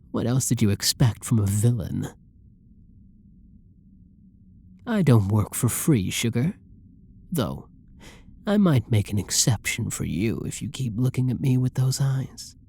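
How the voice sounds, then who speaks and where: A performer playing a flirty, dominant, possessive villain in an intimate ASMR roleplay, with a breathy, husky, teasing purr that often drops toward a whisper. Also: medium pitch, mellow, full character voice. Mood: smug, conspiratorial, then aroused, teasing. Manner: teasing, drawling, pet-name-laden purr.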